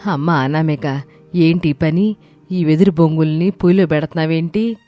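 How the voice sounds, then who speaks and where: A woman's voice: low voice, steady tone, normal speed, mellow, dry recording, soft background music, natural voice. A woman playing an inquisitive village mother in a story. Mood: puzzled.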